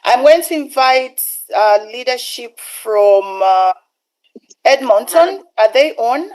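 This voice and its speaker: A woman with a somewhat high voice chairs an online meeting. She calls on speakers in turn, politely and in an organized way, with a few fillers. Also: expressive, takes it slowly, darkish tone, rough recording. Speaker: meeting host. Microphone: video call.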